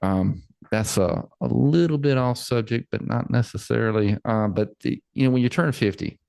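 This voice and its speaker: A man's voice: mid-range voice, moderate pace, vocal fry, darkish tone, no room sound. A man in his forties, a financial planner chatting casually on a podcast, repeating words and laughing easily. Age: 40s.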